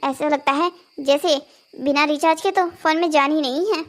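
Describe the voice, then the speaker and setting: High-pitched melodramatic young daughter-in-law played by a woman. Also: moderate pace, lightly acted. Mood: worried, chatty. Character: young daughters-in-law, shopping-obsessed and quick to complain. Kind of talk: Hindi cartoon family drama dialogue.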